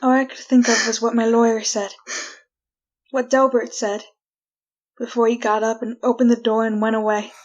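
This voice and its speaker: A woman acting a frightened condemned prisoner in a retro radio drama, pleading innocence in a halting, folksy way. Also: on the high side, little pitch movement, close to an everyday voice. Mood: desperate.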